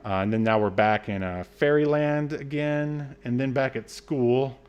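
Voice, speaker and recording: A man's voice: medium pitch, measured pace, a little mellow, clear, resonant tone, highs cut off. A man co-hosting a podcast, recapping a film casually in a sardonic, exasperated way with some swearing. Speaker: podcast co-host.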